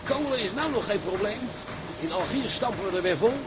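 Worried, sober tug officer, slightly high male voice, radio play over clear ship noise. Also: animated, fairly quick. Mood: concerned, steady. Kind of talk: radio drama acting.

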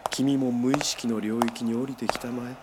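An actor playing a controlling male psychiatrist in a radio drama, speaking in a measured, formal, hypnotically coaxing way. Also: medium pitch, level tone, heavy background noise, lightly acted. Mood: hypnotic, controlled.

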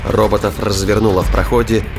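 A man reading scripted narration of a science fiction thriller as a dramatic, deliberate storyteller. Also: fairly low pitch, little pitch movement, gravelly. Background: music and battle sound effects.

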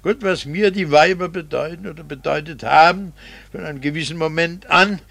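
An actor playing a bitter, condescending middle-aged husband in a theatrical 1960s radio drama. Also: male voice, fairly high pitch, creaky, a bit bright, no room sound. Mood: scornful. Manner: sardonic, theatrical, drawn-out rhetorical phrasing. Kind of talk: 1960s German-language radio drama acting.

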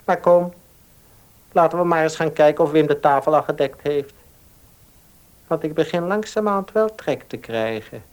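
A man's voice: fairly high pitch, normal speed, long pauses, a little mellow, lightly acted. Man acting a pious, calculating moralizer. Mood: knowing. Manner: sanctimonious, persuasive. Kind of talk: Dutch radio play acting.

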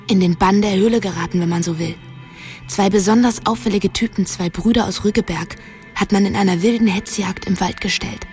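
A headstrong young woman acted by a woman in a radio play. Her voice sits at an ordinary pitch and she talks bluntly, with a secretive, conspiratorial edge. Music plays faintly behind her. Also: close to an everyday voice. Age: young adult. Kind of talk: German radio drama dialogue.

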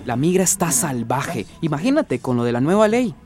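Slangy, animated young son. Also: male voice, on the high side, normal speed. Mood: concerned, informative. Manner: slangy, playful. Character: Beto, teasing young immigrant son chatting with his mother. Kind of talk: radio drama acting.

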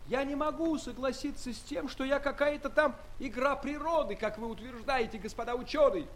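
An actor in an audio play playing a tiny, dignified human traveler among giants, speaking formally and earnestly. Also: male voice, very high-pitched, brisk, a little hiss or hum. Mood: indignant, proud.

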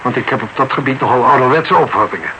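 Man playing a touchy middle-aged husband, guarded, halting, curt, medium-pitched male voice, radio drama, clear background noise. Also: lo-fi sound, natural voice. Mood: embarrassed, reluctant. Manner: guarded, halting, curt, indignant when pressed. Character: proud, embarrassed husband, guarded, easily indignant male client. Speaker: actor in a radio play. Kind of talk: Dutch radio drama acting, dialogue. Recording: noisy old radio broadcast recording.

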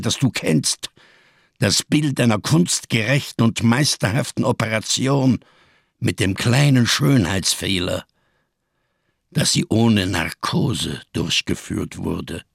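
An actor in a radio crime drama playing a giant, scarred, world-weary survivor who speaks in grand, bitterly ironic phrases. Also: male voice, lowish voice, gravelly, dry recording, studio quality, full character voice. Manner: grandiose, bitterly ironic, slow rhetorical delivery.

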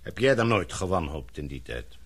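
An actor playing a gloomy, businesslike older father and boss in a radio play, measured and authoritative. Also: low-pitched, big pitch swings, fairly bright tone, breathy edge, exaggerated voice. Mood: grave, pessimistic. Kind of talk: radio drama acting.

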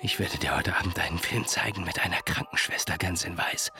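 An actor playing a haunted, manipulative psychiatrist in a radio drama, speaking in theatrical, incantatory repetitions. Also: male voice, deep voice, a little fast, noisy background. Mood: cold menace. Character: unhinged, haunted male psychiatrist, manipulative and menacing.

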